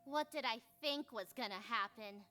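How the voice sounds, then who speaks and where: A woman's voice: a little high, normal speed, bright, forceful tone, pitched above the performer's own voice. A woman voicing a hot-tempered, self-pitying young magical girl from a visual novel, dramatic and exasperated. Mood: despairing. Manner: dramatic, sarcastic, exasperated outbursts. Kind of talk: visual novel character voice acting.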